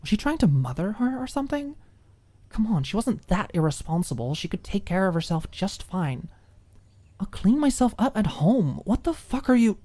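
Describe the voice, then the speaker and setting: Cocky young college woman, acted by a female reader, combative, blunt, mocking, mellow tone, close dry sound, audiobook. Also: mid-range voice, very animated, normal speed, dry recording, pitched above the performer's own voice. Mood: indignant.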